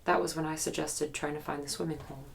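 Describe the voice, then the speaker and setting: Casting: guarded young woman in investigative audio drama, very low register, fry-heavy, hesitant naturalistic storyteller carrying a haunted memory. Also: steady tone. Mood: reflective. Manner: hesitant, reflective storytelling with pauses. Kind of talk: naturalistic documentary-style audio drama interview.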